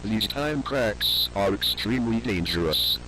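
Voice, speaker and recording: A man's voice: fairly low pitch, lively intonation, unhurried, pushed and bright, slight background noise, rough recording. A man playing a grave government announcer reading a scripted emergency alert in a formal, instructional way.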